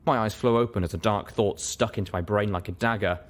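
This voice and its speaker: A man reading first-person story narration as an audiobook, in an expressive, dramatic way. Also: lowish voice, a little fast. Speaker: audiobook reader.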